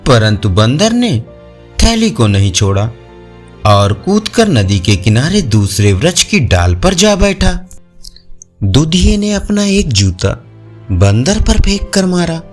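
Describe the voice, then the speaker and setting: A man narrating moral folk tales as a scripted storyteller. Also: fairly high pitch. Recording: music under the voice. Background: faint music.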